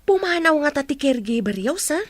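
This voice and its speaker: A woman's voice: sits high, sing-song, fairly quick, no echo. An actress playing a polite, deferential female household helper in a radio drama. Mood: worried.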